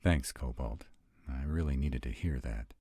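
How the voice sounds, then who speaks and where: A man's voice: very deep voice, a little slow, warm tone, slightly rough, no echo, clean studio sound, full character voice. A man playing an earnest, awkward young vampire in a roleplay audio drama.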